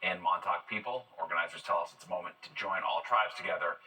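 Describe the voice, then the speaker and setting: A man's voice: deep voice, quick pace, sharp tone, echoey room, recorded from a distance, poor audio. A man presenting scripted stories as a television news anchor.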